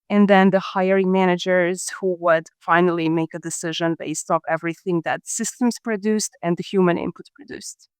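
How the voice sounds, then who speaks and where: Smooth-voiced Croatian-born woman guest. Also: fairly low pitch, no room sound. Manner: measured, businesslike explaining. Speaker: guest, talent acquisition leader. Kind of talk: podcast interview answers.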